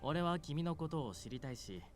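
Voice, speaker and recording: Man playing a playful demon king, lively range. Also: a little high, fast, soft background music, close to an everyday voice. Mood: gently inviting. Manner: playful, teasing, smooth flirting. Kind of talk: Japanese visual novel voice acting.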